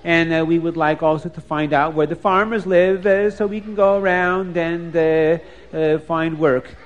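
A man's voice: a little high, fairly even intonation, a little slow, highs cut off, close to an everyday voice. A man playing a polite, eager travelling tailor, earnest and a bit hesitant, in a children's story. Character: polite, eager male travelling tailor, boastful about work.

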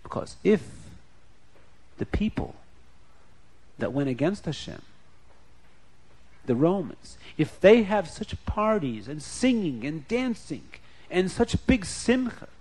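A hushed, unhurried voice: a man playing a serene old rabbi in a told story, explaining gently and hopefully. It sits near the storyteller's own everyday voice, just quieter and slower. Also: sits high, big pitch swings, husky, full character voice. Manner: soft, slow, gently reassuring.

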